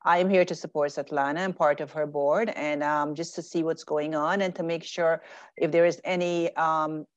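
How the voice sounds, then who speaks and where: A woman's voice: deep voice, no room sound. A woman in corporate leadership introducing herself in an online meeting in a friendly, earnest way. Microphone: video call. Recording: dry muffled call audio.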